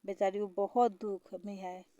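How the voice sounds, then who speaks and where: A woman's voice: mid-range voice, steady tone, measured pace, warm tone, no echo, dull audio. A woman, calling as a fan on a recorded phone conversation, speaking in an earnest, pleading and repetitive way. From Bihar, India. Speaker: caller, fan.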